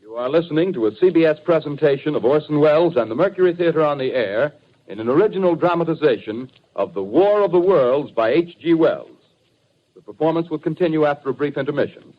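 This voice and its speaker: A man announces for a 1930s radio network in an American accent. His voice sits a little high, with formal, measured diction. The sound is a muffled old broadcast recording. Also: expressive.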